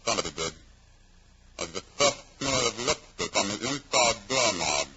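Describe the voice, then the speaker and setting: An actor playing a probing, sardonic police inspector in an old-time radio crime drama, with a dry, interrogating manner. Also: male voice, fairly low pitch, animated, normal speed, lightly acted. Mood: pressing. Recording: noisy, crackly vintage radio recording.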